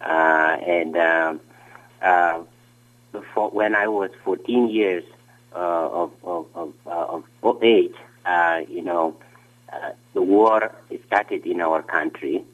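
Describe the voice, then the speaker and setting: A man in his forties from South Sudan, a radio guest talking haltingly with many fillers. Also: low voice, a bit bright, dull audio. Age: 40s. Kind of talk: radio interview by phone.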